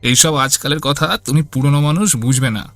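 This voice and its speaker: A man's voice: a little high, normal speed, a bit bright, dry recording, faint music behind. A man voicing a greedy young married son in a cartoon, self-assured and dismissive. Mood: smug, impatient.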